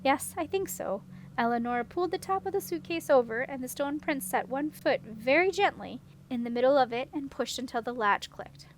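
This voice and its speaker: A high-pitched woman narrates a storybook from a script. There is faint noise in the recording behind her reading. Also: animated, fairly quick, few pauses, rough recording. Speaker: audiobook reader.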